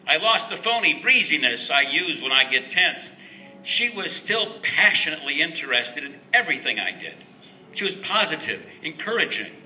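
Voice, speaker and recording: A man giving an expressive, dramatic recitation of a rhyming story, with deliberate pauses. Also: on the high side. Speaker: reader of a Christmas verse story. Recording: roomy, distant mic with faint noise.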